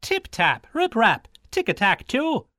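A man voicing a merry old male leprechaun shoemaker in an Irish accent, chanting in a high sing-song. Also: wildly swooping pitch, slow pace, pushed and bright, dry recording, clean studio sound, full character voice.

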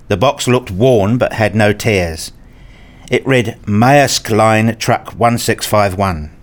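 A man's voice: fairly low pitch, lightly acted. An actor playing an enthusiastic, curious grown man who narrates his visit in a scripted radio play. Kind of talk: scripted first-person narration and acted dialogue.

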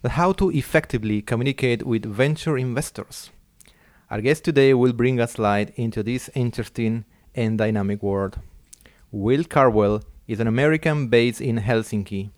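A man's voice: mid-range voice, moderate pace, a little mellow, no echo, studio quality. A man hosting an interview podcast, reading a careful, formal introduction and then asking his guest questions. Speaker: podcast host.

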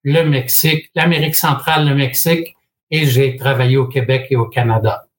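A man in his sixties from Quebec with a Québécois French accent, a guest in an interview, telling his story in a measured way with frequent hesitations and dry humor. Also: medium pitch, level tone, a little hiss or hum. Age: 60s. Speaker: guest, franchise expert.

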